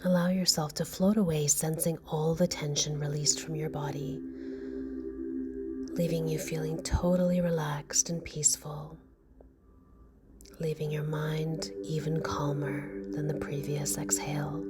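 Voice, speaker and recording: Low-voiced woman guiding a meditation over music. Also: little pitch movement. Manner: soothing, slow, gentle. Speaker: meditation guide. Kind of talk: guided meditation narration.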